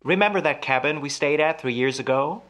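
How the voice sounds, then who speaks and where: A man playing a smooth, solicitous husband in an old-time radio melodrama, soothing and patronizing with a quietly menacing edge. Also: a little high, a little fast, natural voice. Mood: coaxing, quietly menacing.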